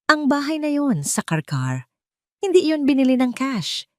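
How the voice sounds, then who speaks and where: A woman voicing a calm, protective male lawyer in an audio drama, measured, formal and earnest. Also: high voice, no echo, clean studio sound, pitched above the performer's own voice. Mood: grave, urgent. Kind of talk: Tagalog audio drama dialogue.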